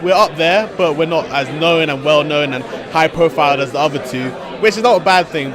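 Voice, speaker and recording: An eighteen-year-old man from London, an esports player answering interview questions with quick, excited talk and casual slang. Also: a little high. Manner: excited, fast-talking, loose slang. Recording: noisy crowd behind. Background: crowd chatter.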